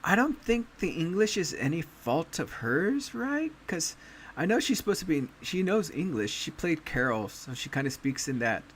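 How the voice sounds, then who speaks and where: A man hosting a casual anime chat, talking in a relaxed way with many fillers and pauses. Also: fairly high pitch, a little hiss or hum. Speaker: podcast host.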